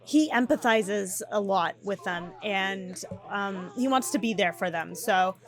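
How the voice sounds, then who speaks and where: A woman casually commenting on her gameplay, chatty and animated, with frequent ums and laughs, her voice slightly hoarse. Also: medium pitch, a little slow. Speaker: gaming YouTuber.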